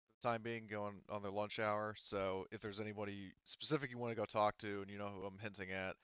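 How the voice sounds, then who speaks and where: Low, bright-voiced male game master. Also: flat delivery, fairly quick, clear, resonant tone, no echo, highs cut off. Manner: improvised, loosely phrased. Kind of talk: casual tabletop roleplaying narration.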